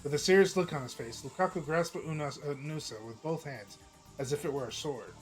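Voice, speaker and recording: A hoarse man streaming, reading a visual novel aloud in a casual, chatty way with small slips. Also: a little high, fairly quick, soft background music, poor audio. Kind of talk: narrating a visual novel live.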